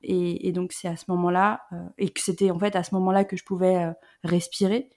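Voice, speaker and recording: A woman's voice: on the low side, flat delivery, fairly quick, a little mellow. Hesitant, reflective woman in her thirties. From Rhône-Alpes, France. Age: 30s. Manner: reflective, many hesitant "euh" fillers. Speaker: guest. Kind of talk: personal story in an interview.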